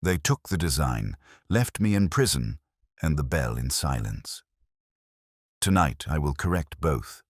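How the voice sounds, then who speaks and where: A man voicing a coldly vengeful villain posing as a priest in a story, deliberate, preachy and ominous. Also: very deep voice, big pitch swings, breathy edge, dry recording, studio quality, full character voice. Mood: bitter.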